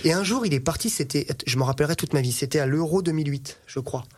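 A man in his thirties, a podcast guest telling animated anecdotes and laughing often. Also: a little high, fast talker. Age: 30s. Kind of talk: casual chat, storytelling.

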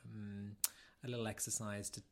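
A man's voice: fairly low pitch, little pitch movement, moderate pace, creaky. A man co-hosting a podcast, bantering casually in a playful, chatty way and reacting to his partner. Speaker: podcast co-host.

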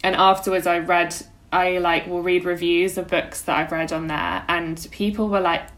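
A woman's voice: fairly low pitch, steady tone, normal speed, a bit bright. A young woman co-hosting a podcast, discussing books casually and a little tentatively, often saying "like". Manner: informal, tentative, frequent "like". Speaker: podcast co-host.

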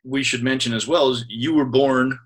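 A man's voice: medium pitch, steady tone, normal speed. Friendly rambling male interviewer. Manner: friendly, rambling questions with many fillers. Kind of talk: conversational interview.

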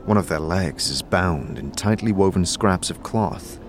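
A man's voice: sits low, a little slow, background noise. A man giving dramatic scripted narration for a fantasy audio drama, as narrator and game master. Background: music.

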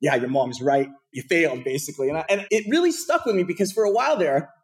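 A man in his thirties from Portland, Oregon, a podcast guest telling his career story in a casual, animated way with plenty of fillers. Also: a little high, fast.

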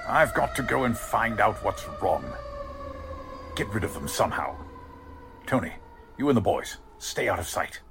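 An actor playing a curt, wary gang boss in a 1940s-style radio crime drama. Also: male voice, on the low side, lively intonation, normal speed, gravelly, long pauses, music in the background. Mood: alert, urgent. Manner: curt, commanding. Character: male gang boss, commanding, wary crook.

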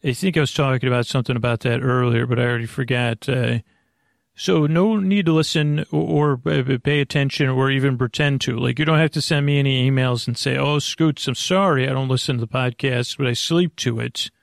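Man, American accent, gravelly fry, dry recording, halting, meandering, reassuring bedtime podcast host. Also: medium pitch, no echo. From United States. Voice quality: creaky, gravelly. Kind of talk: rambling casual monologue.

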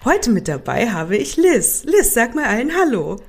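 A woman's voice: on the high side, sing-song, soft background music. Woman with a cold, hosting. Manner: thoughtful, musing, slow stretches. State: has a cold. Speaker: podcast host. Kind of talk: casual podcast chat.

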